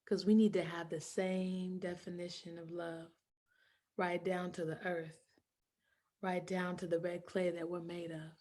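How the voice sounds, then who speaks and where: A woman playing an anguished, fiercely loving enslaved mother in a dramatic stage monologue, speaking emphatically with heavy pauses. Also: lowish voice, little pitch movement, darkish tone, natural voice. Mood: tender, musing. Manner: slow, emphatic, repetitive delivery with dramatic pauses.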